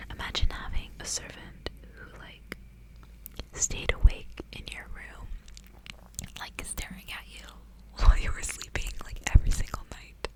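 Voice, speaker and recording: A woman reading aloud in a soft, intimate, breathy whisper, close to the microphone in an ASMR style. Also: slow pace, pauses often, rough recording. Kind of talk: whispered ASMR audiobook reading with casual asides.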